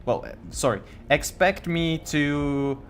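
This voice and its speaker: A man's voice: fairly high pitch, slow pace, a bit bright. A young man from Finland with a Finnish accent, chatting casually on a game live stream and stumbling over his words. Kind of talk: casual live-stream chat while building.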